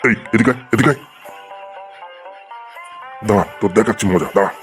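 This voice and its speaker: A man voicing an excitable, gullible, quick-tempered schoolteacher in a comedy cartoon, exclaiming and teasing. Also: on the low side, faint music behind, close to an everyday voice. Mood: threatening. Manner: exclaiming, teasing, addresses pupils with mock affection. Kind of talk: Bengali comedy cartoon voice acting.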